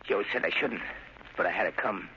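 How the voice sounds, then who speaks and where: A man's voice: deep voice, brisk, bright, forceful tone. An actor playing a shell-shocked young killer devoted to his mother, simple and obedient, with plain uneducated speech, in old radio style. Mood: uneasy, intent. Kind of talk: 1930s radio acting. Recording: scratchy, muffled old radio recording.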